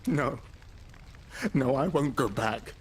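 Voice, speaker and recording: A man playing a guilt-stricken, grieving survivor in a video game, halting and anguished. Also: medium pitch, breathy edge. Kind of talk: dramatic video game voice acting.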